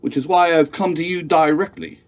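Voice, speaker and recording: A man's voice: a little high, fairly even intonation, normal speed, lightly acted. A man playing a stern debt collector from a safe company in a stage musical table read, stiffly formal and insistent.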